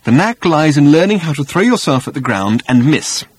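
A man acts out an eccentric male alien traveller in a comic science-fiction audiobook. He is intense and impatient, leaning on his words with dramatic deliberation. The pitch moves a great deal and the tone is slightly rough. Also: sing-song. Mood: earnest. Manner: emphatic, dramatic, deliberate phrasing. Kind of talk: audiobook character voice.

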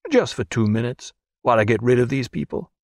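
A wealthy, self-assured gentleman, as a man narrating an audiobook plays him. He is courteous and expansive, with an urbane manner and a swooping, animated delivery. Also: mid-range voice, brisk, dry recording, clean studio sound, natural voice. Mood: genial, brisk. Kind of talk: audiobook character voice.

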